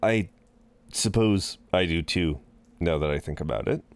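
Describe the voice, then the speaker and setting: A man playing an amused, seductive incubus in an intimate roleplay audio drama, teasing and soothing in an unhurried, halting way. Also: low voice, clear, resonant tone, no echo. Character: amused, reassuring, seductive male incubus innkeeper.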